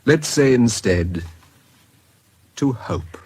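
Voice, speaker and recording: An actor playing a shrewd, calm British suitor in a radio drama, reasoning persuasively in a measured way. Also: male voice, medium pitch, breathy edge, heavily acted. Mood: tender, persuasive. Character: calm, shrewd suitor counselling the woman he loves.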